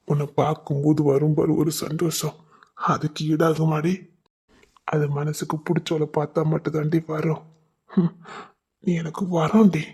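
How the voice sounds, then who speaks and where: A man acting a devoted young lover, husky and pleading, in a romantic drama. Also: a little high. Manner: tender, pleading, emotional. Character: devoted, tearful young village man in love.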